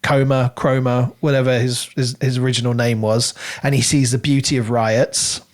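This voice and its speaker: A man co-hosting a podcast, talking through a book in a casual, animated and rambling way. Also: medium pitch, normal speed. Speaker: podcast co-host reviewing a book.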